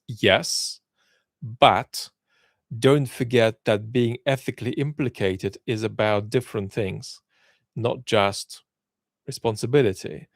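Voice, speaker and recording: A man's voice: on the low side, creaky, dry recording, studio quality. A man hosting a question-and-answer chat, speaking thoughtfully and often repeating words as he restarts a sentence. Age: adult, lived in Israel as a child aged nine to twelve. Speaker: channel host answering viewer questions. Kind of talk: livestream Q&A commentary.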